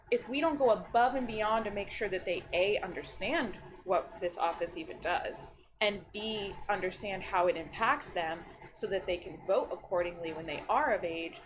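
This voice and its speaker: A woman's voice: medium pitch, noisy background. Bubbly woman in her thirties. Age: 30s. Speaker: county district staff member. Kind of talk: casual chat on a video stream. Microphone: video call.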